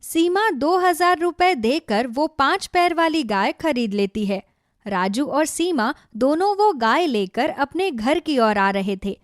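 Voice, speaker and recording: Female narrator for cartoon tales, high voice, scripted storytelling, very dry recording. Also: animated, slow pace, no echo.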